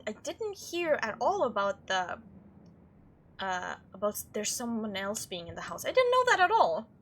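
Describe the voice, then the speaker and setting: A woman's voice: high voice, bright, forceful tone, slightly rough. A woman doing a let's play, reading game text aloud and reacting in a chatty, playful way. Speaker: video game streamer doing a playthrough.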